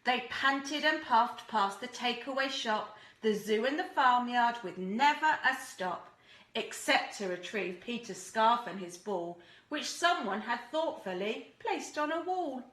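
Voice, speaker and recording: A woman reads a children's storybook with a high, very lively voice and a bright tone. She is deliberate and expressive, like a teacher with a young class, in a roomy, echoing space. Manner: animated, deliberate, acts out characters and asks listeners questions.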